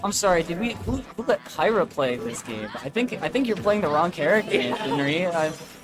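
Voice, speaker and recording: Male esports caster, higher-than-average voice, casual and excitable play-by-play, prominent music behind. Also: moderate pace. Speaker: esports commentator. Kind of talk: casual live play-by-play commentary.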